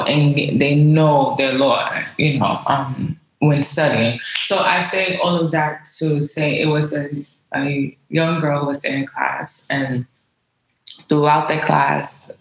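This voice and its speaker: An American woman speaks as an interview guest in a roomy, echoey recording. Her pitch stays fairly flat while she tells personal stories informally, with emphatic wording and quoted back-and-forth. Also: a little hiss or hum. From United States. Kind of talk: conversational personal storytelling.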